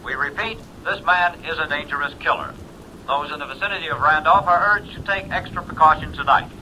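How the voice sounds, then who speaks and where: An actor playing a solemn, urgent radio news announcer with formal, emphatic delivery, in a mid-century bulletin style. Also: male voice, on the high side, fairly quick, sharp tone, poor audio. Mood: urgent, grave. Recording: vintage radio bulletin with faint noise.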